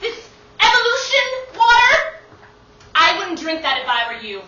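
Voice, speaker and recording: A self-righteous, suspicious small trader played by a woman, very high and sharp, full of indignation in broad comic theatre style. The sound is distant and echoey, like a stage heard from the seats. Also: sing-song, unhurried, heavily acted. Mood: scornful, challenging. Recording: distant mic in echoey theatre, dull, faint noise.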